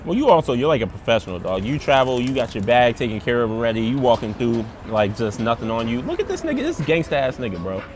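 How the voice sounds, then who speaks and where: Lively crude-joking man on the street. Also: medium pitch, fast talker, few pauses, a little hiss or hum. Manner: crude joking banter with slang. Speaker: comedian host. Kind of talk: casual street chat. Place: outdoors on a city street.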